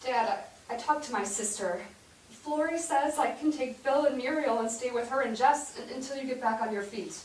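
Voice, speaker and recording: Actress as firm weary mother, higher voice, gentle explaining, period family stage play, roomy distant sound. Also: normal speed, close to an everyday voice. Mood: worried, questioning. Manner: gentle but firm, matter-of-fact maternal explaining. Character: firm, weary mother breaking hard news to her sons. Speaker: stage actor. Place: indoor theatre stage, recorded from afar. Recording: roomy theatre, distant mic, hissy background.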